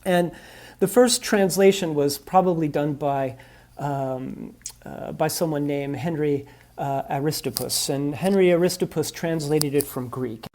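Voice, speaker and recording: A man interviewed as an expert, explaining at length with many pauses and restarts. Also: on the high side, warm tone. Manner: hesitant, many ums and restarts. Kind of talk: recorded interview.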